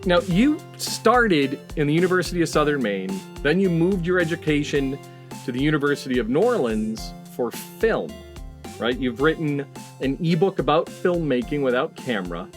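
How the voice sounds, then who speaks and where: A man's voice: on the high side, very animated, moderate pace, faint music behind. A man hosting a podcast, introducing and interviewing a guest. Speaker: podcast host.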